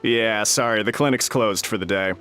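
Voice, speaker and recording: A man's voice: lowish voice, moderate pace, over music, natural voice. A man voicing a smug clinic doorman who turns visitors away, in a video game. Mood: dismissive. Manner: dismissive, chuckling.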